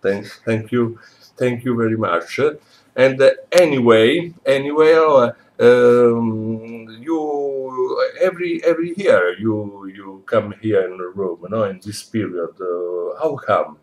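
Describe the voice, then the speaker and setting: A man's voice: medium pitch, slow. A middle-aged man with an Italian accent interviewing a friend at his home, searching for words in English, recorded on a webcam. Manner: hesitant in English, many fillers and restarts. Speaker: interviewer, host. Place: his home living room. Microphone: webcam at desk.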